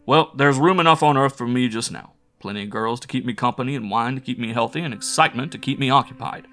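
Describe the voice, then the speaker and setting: A man's voice: medium pitch, fast, a bit bright, natural voice. A man voicing a big, brash, hot-blooded spaceship crewman with a hearty, blustering manner in a science fiction story. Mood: blustery. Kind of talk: science fiction audiobook character.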